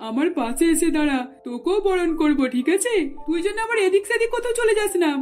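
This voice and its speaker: Woman playing a high, mellow coaxing mother. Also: big pitch swings, quick pace. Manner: coaxing, instructing. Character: coaxing, scheming middle-aged mother-in-law, doting on son.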